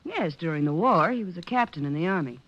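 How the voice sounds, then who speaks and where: A woman's voice: fairly low pitch, lively intonation, quick pace, lo-fi sound. A woman playing a defensive, melodramatic married suspect in an old-time radio detective drama. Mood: explaining. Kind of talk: 1940s-50s radio detective acting.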